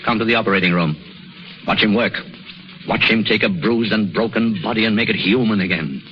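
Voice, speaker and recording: An actor playing a commanding senior surgeon in a 1930s radio drama, with a clipped, authoritative manner. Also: male voice, lowish voice, fairly quick, lightly acted. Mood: firm, challenging. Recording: muffled 1930s radio recording, faint noise.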